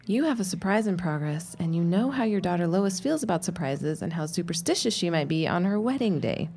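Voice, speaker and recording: A woman's voice: fairly low pitch, expressive, brisk, a little mellow. An actress playing a wary, stubborn young 1910s bride in an audio drama, dry and teasing with her lines. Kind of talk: period audio drama acting.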